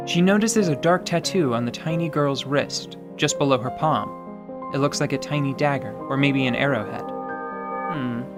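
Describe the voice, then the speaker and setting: A man narrating a fantasy radio play in a measured, dramatic storytelling style with musing asides. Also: on the high side. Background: soft music.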